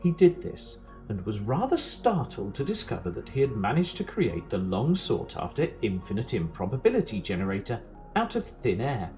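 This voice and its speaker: An actor playing a dry, wry narrator of a galactic guidebook, reading scripted comedy. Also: male voice, lowish voice, huge pitch swings, normal speed, a little mellow. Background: music.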